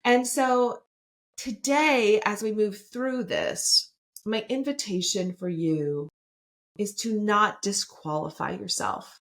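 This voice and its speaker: A woman in her fifties talks through a motivational podcast episode. Her pitch sits in a plain middle range. She sounds upbeat and chatty and keeps checking in with her listeners. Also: takes it slowly, a bit bright. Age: 50s. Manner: enthusiastic, chatty, frequent "right?". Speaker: podcast host and coach. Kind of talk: solo podcast, motivational talk.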